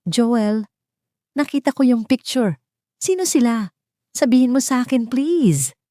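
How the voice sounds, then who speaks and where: A woman performs a distraught wife in an audio drama. Her voice is lifted and pleading, trembling with hurt, with a soft, mellow tone. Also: fairly high pitch, animated, unhurried, dry recording, studio quality, pitched above the performer's own voice.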